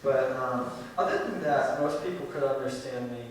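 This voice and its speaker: A teenage boy giving an informal talk to an audience, halting and full of ums and likes. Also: medium pitch, fairly even intonation. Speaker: presenter. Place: senior center hall. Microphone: distant room mic. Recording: echoey hall from far off, faint hiss.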